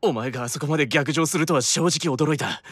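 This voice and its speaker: A man's voice: a little high, brisk, a bit bright, slightly rough, no room sound, studio quality. A man playing a proud, earnest, worn-out demon brother with a stiff, dramatic delivery in a Japanese anime-style audio drama. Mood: apologetic.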